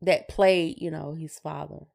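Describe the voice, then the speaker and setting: A woman's voice: low voice, steady tone, a little slow, gravelly, a little mellow. A woman co-hosting a podcast in casual chat, laconic and given to brief replies. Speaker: podcast co-host.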